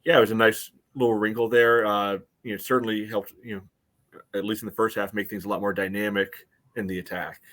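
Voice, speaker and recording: Lowish-pitched American man, halting sports chat. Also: level tone, a bit bright. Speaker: sports podcast co-host. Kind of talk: casual soccer analysis.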